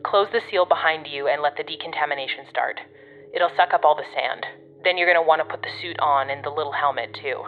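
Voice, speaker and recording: Casting: actress for exasperated female handler in an audio drama, low-pitched, commanding and wry, heard through a narrow radio band. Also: fast, faint music behind. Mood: wry, instructing. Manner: bossy, sardonic, swears. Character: gruff, exasperated, protective woman guiding a colleague over radio.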